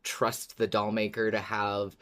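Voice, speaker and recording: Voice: medium pitch, completely flat delivery, a little slow, a bit bright. A podcast co-host in casual chat, playful and often restarting sentences.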